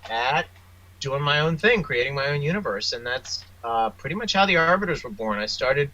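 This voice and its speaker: A man being interviewed as a science fiction writer, telling anecdotes in a casual, animated way. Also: medium pitch, normal speed, dull audio.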